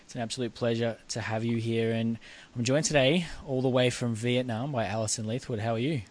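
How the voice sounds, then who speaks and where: A man's voice: mid-range voice, fairly even intonation, fairly quick. Host: man, mid-range voice, chatty, friendly interviewer. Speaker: podcast host. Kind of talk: podcast interview.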